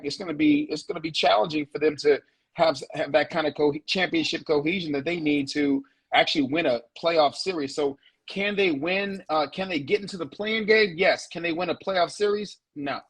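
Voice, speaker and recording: Husky laid-back sports guest. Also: male voice, fairly high pitch, normal speed. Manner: laid-back, repeats words while thinking. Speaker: show guest analyst. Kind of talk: casual sports analysis.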